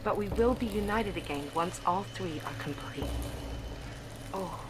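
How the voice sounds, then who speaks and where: An actress playing a besotted young bride drawn into a cult, delivering an intense, breathy diary monologue in an audio drama. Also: female voice, on the low side, unhurried, very noisy, natural voice. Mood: fervent anticipation.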